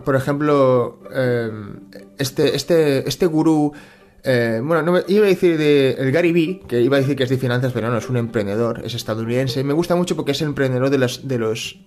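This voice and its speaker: A middle-aged man from Catalonia in Spain muses aloud in a casual monologue. His voice sits in an ordinary middle pitch, and he stops often to restart his phrases and fill pauses. A faint bed of music plays softly behind him. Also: animated. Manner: thoughtful, hesitant, many fillers and restarts. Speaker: personal finance channel host.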